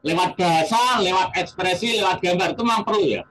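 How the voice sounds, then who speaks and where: A man's voice: high-pitched, fast talker, a crowd in the background, low-quality recording. An Indonesian man interviewing in a conversational way, repeating phrases and seeking agreement as he builds his questions. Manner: repeats phrases, lists points, seeks agreement.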